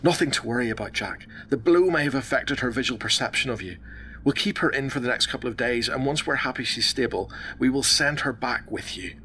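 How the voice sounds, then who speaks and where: Médecin posé à la voix claire. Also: male voice, mid-range voice, animated, pushed and bright, poor audio. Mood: composed, serious. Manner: professional, reassuring, deliberate. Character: calm, measured hospital doctor consulting a worried husband. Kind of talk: radio drama acting.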